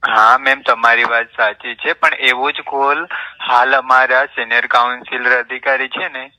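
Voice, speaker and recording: Casting: man, helpline operator, mid-pitched and very sharp, bright tone, courteous scripted reassurance on a phone line. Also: little pitch movement, moderate pace. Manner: polite, formal, placating. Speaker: helpline call handler. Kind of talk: scripted customer-service phone talk. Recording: telephone call recording.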